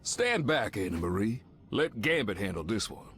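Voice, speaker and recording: A man's voice: on the low side, very animated, moderate pace, a bit bright, soft background music. A man voicing a charming, protective, romantic superhero in a video game, with a Cajun accent and a suave, tender manner. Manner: suave, tender, peppered with French endearments. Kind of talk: video game character voice acting.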